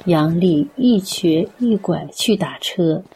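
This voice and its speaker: A woman's voice: fairly low pitch, expressive, slow pace, mellow, slightly rough, some chatter behind, poor audio. A woman reading scripted narration for a Chinese radio drama.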